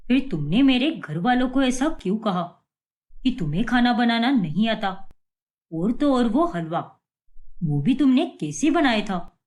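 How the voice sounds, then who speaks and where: A woman's voice: on the high side, very animated, unhurried, a little mellow. A woman voicing a patient, mild young husband who speaks politely and earnestly. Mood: reproachful.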